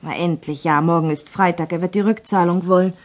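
An actress playing a brisk, teasing office secretary in a German crime radio play. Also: female voice, fairly low pitch, steady tone, lightly acted. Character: brisk, bossy but friendly female office secretary. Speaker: actor in a crime radio drama.

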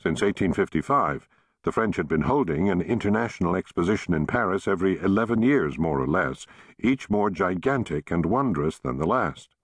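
Deep-voiced man narrating an audiobook. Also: breathy edge, dry recording, studio quality. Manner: measured, expressive storytelling reading.

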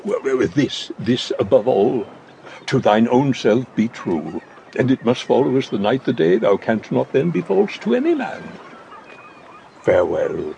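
Slow, pompous old father. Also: mid-range voice, sing-song, vocal fry, dark tone, dull audio, a little hiss or hum. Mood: fond, sententious. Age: older. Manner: pompous, halting, sententious advice-giving. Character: fussy, rambling old father, a pompous royal counsellor. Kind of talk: Shakespearean audio drama acting.

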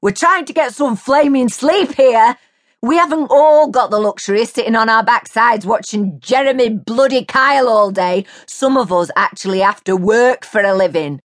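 A woman doing a hoarse, grumpy man. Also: high-pitched, expressive, takes it slowly, a bit bright, pitched above the performer's own voice, full character voice. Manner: barking, blustering. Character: hoarse, grumpy middle-aged male neighbour.

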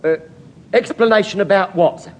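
An actor playing a wily, shifty English chief petty officer with glib, mock-innocent patter in a classic radio comedy. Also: male voice, sits high, moderate pace, natural voice. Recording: very noisy old recording, loud studio audience.

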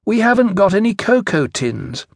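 Guy doing a worried little british schoolboy sidekick in a storybook, higher voice, doubtful and objecting, dry sound. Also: big pitch swings, normal speed, vocal fry, dry recording, heavily acted. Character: cautious, doubtful, peace-loving young English schoolboy sidekick.

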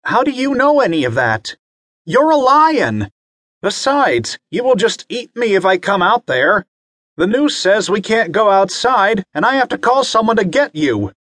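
An excited little boy from a bedtime storybook, high and eager, as a male narrator puts him on. He pipes up quick and bright. The recording is dry and close. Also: no room sound, heavily acted. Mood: alarmed. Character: excited young boy, self-proclaimed brave.

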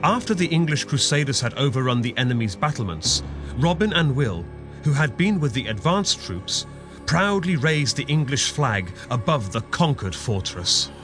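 A man's voice: mid-range voice, big pitch swings, normal speed. A man giving dramatic scripted narration for a children's audio adventure story. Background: music.

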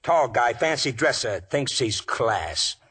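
A man's voice: mid-range voice, animated, slow pace, pushed and bright, slightly rough, heavily acted. An actor playing a nervous, shifty small-time tough guy in 1940s radio style, whining and protesting in slangy street talk. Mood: whining, rattled.

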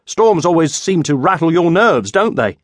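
A man's voice: a little high, very animated, moderate pace, no room sound, clean studio sound, voice pushed up. A man voicing an eager, chatty young squire who rambles anxiously and deferentially to his lord in an audiobook.

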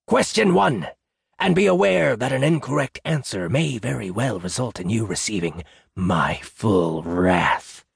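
A man voicing a pompous, needy, menacing jackal trickster in an audiobook, theatrical and drawn-out, with a raspy, gravelly voice. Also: on the low side, expressive, no echo, clean studio sound, exaggerated voice. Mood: pompous, threatening. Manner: theatrical, drawn-out grandiose threats, sudden petulant outbursts. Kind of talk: audiobook character voice.

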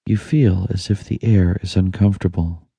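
A man narrating a guided meditation in a soothing, hushed manner with long pauses. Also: deep voice, steady tone, gravelly, slightly rough, no room sound. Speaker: audiobook narrator.

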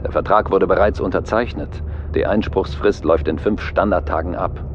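A brisk, businesslike official in a sci-fi radio play, acted by a man with a low voice. His voice sounds dull and muffled, like a transmission. Faint noise hangs behind it. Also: natural voice. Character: businesslike male official calling by video link. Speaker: planetary commission official.

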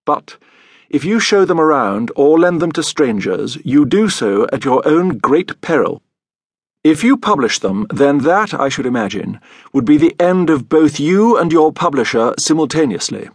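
A man's voice: a little high, sing-song, normal speed, breathy edge, dry recording, heavily acted. An actor playing a worldly, roguish older uncle with a British accent, reading his letter in an urbane, droll audiobook style. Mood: droll warning. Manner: urbane, droll, florid phrasing.

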